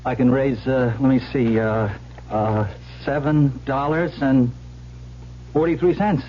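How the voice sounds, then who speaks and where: An actor playing a deferential, easily startled young nephew in a radio drama, hesitant and polite. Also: male voice, medium pitch, fairly even intonation, slow, lightly acted. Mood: hesitant, obliging. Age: young adult. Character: broke, deferential twenty-four-year-old nephew, easily startled. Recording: noisy muffled old radio broadcast.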